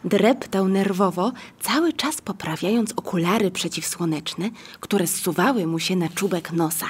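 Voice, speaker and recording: A woman narrating a scripted children's story in a breathy, husky voice. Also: fairly low pitch, unhurried.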